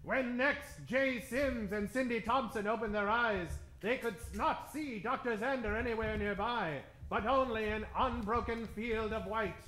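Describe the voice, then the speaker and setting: A man's voice: sits high, a little slow, few pauses, sharp tone, slight background noise. A man narrating an old-time radio adventure serial in a dramatic, suspenseful and theatrical manner. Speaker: narrator and announcer. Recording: roomy stage sound, faint music behind.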